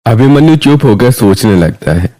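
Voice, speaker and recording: A man's voice: mid-range voice, lively intonation, moderate pace, dark tone. A man narrating a scripted moral story.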